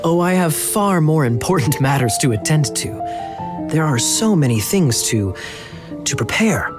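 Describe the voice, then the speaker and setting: Suave, lilting knight officer. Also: male voice, a little high, animated, unhurried, over music. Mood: suave, teasing. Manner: suave, teasing. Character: suave, flirtatious male knight officer, smooth and slyly courteous. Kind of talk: English video game voice acting.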